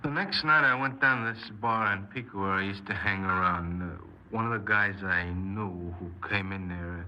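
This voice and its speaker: A man's voice: low-pitched, moderate pace, close to an everyday voice. An actor playing a cold, embittered young man confessing his crimes in a 1950s radio crime drama, speaking tersely and guardedly. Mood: halting confession. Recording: noisy, muffled 1950s radio broadcast.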